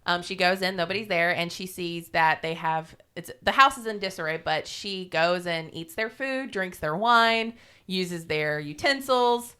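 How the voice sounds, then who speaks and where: Female podcast storyteller, irreverent and informal; lowish pitch, very clear tone. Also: a little slow, bright, forceful tone. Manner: irreverent, salty-tongued, informal. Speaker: podcast co-host. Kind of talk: casual retelling of a fairy tale.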